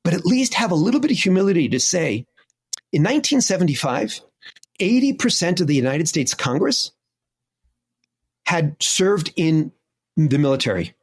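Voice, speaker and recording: A middle-aged man with a husky, fairly high voice, speaking with conviction and stacking up his points one after another. He talks emphatically as an interview guest. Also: sing-song, normal speed, long pauses. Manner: emphatic, impassioned, lists points. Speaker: historian guest. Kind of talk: interview answer.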